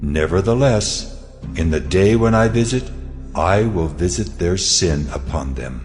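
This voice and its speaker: An actor playing God as a stern, solemn almighty deity in a dramatized scripture audio drama, speaking with measured authority. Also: male voice, low voice, animated, darkish tone. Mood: stern, commanding. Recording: long cavernous reverb, music underneath. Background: cinematic music.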